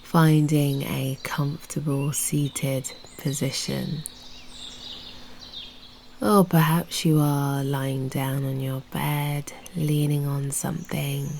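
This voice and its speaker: A British woman leads a guided meditation at an extremely slow pace, her soft voice often sinking toward a whisper. The tone is dark and mellow, with long, soothing pauses, over faint background ambience. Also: low-pitched, level tone, slight background noise.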